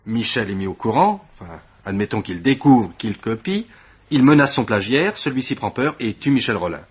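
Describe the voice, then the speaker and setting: A man's voice: fairly low pitch, animated, moderate pace, breathy edge. A man acting an eager, deferential police assistant in a French radio mystery play, explaining his deductions with proud, methodical emphasis. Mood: keen, insistent.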